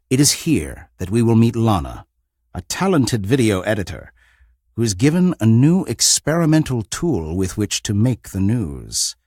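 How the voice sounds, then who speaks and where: A man hosting an audio drama anthology, reading a scripted, eerie introduction in a measured, dramatic way. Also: lowish voice, a little mellow, slightly rough. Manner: measured, dramatic, deliberate delivery.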